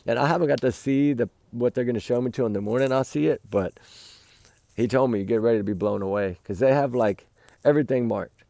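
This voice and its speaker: A man talks to his viewers in a rambling, earnest way from a campfire at night. His voice is hoarse and gravelly, with a mellow, dark tone. The sound is dry and close, with no echo. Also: mid-range voice, a little fast. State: losing his voice. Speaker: livestream host. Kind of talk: casual night talk to viewers.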